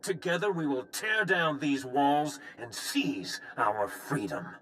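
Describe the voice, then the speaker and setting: An actor playing a defiant, charismatic prisoner ringleader in a video game, delivering impassioned rallying oratory on a noisy, rumbling recording. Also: male voice, expressive, takes it slowly, fairly bright tone. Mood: fiery, defiant.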